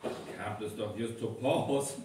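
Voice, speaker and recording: A man's voice: lowish voice, extremely slow, darkish tone. A man with a North Frisian accent playing a grumbling, reluctant local in a live radio play. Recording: very echoey live stage, distant, faint noise.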